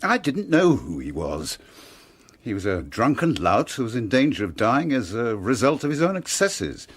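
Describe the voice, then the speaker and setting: A defensive, bitter doctor in an audio drama. His husky, mid-pitched voice moves expressively through formal, precise phrasing. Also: sing-song, moderate pace. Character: defensive, bitter male doctor under questioning. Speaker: actor. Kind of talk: radio drama acting.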